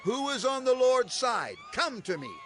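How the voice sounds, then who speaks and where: An actor playing a fervent prophet and leader in a scripture audio drama, declaiming with impassioned intensity. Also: male voice, very high voice, big pitch swings, normal speed, fairly bright tone, slight background noise, exaggerated voice. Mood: commanding. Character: Moses, fervent male prophet and leader of his people. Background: music.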